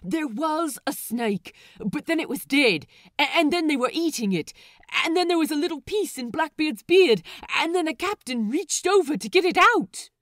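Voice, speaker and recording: A woman's voice: high-pitched, animated, moderate pace, a bit bright, dry recording, clean studio sound, pitched above the performer's own voice. A woman voicing a sassy, exasperated male pirate who argues emphatically, in a fan fiction audiobook. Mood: excited, exasperated. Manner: emphatic, sweary, argumentative.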